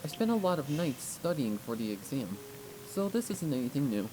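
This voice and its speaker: A man's voice: mid-range voice, big pitch swings, normal speed, dark tone, lightly acted. A man voicing a nervous, earnest young female pony student in an audiobook, hesitant and flustered. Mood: earnest, reassuring. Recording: clearly noisy recording.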